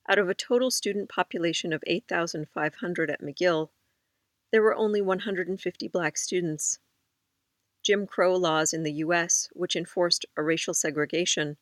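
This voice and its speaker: A host reading scripted narration in a clear, even manner between interview clips. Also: medium pitch, no echo.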